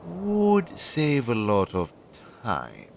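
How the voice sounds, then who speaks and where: A young man playing an anxious, earnest and loyal crow familiar in a roleplay audio drama, hesitant and chatty as he thinks aloud, on a low-quality amateur recording. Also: huge pitch swings, very slow, vocal fry, a little hiss or hum, heavily acted. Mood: curious, surprised. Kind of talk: roleplay audio drama, intimate one-sided dialogue.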